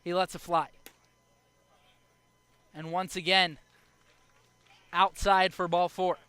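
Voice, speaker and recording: High-pitched young American baseball announcer, crisp and bright. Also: male voice, level tone, slow, no echo. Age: young adult. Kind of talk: live baseball radio and TV play-by-play commentary.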